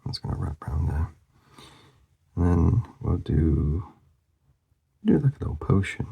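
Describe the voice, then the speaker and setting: A man with a very deep voice gives loose, rambling commentary while he draws. He mumbles softly and often sinks into a near whisper, and his voice is wearing out. The tone is very gravelly and quite dark, with a breathy, husky edge. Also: very animated, a little slow. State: losing his voice. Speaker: artist demonstrating a drawing. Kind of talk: casual drawing commentary.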